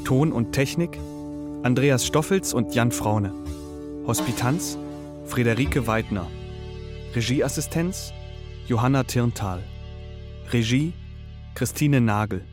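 Casting: male radio announcer for end credits, unhurried delivery, medium pitch, music bed. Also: animated.

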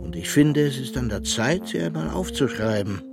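An actor playing an elderly fairy-tale collector, narrating his memories in a measured way for a children's radio play. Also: mid-range voice, animated, creaky, music in the background. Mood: wry reminiscing. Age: older.

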